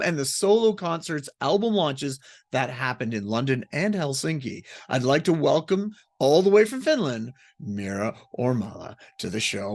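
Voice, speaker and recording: Animated male radio host. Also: mid-range voice. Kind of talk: interviewing a guest.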